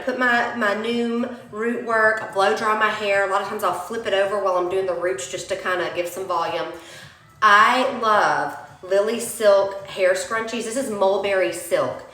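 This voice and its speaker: A woman's voice: mid-range voice, fairly bright tone. A young woman, chatting casually through a hair-care tutorial while sniffling from a cold. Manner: chatty, addresses viewers as y'all. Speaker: beauty vlogger. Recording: roomy echoey home recording.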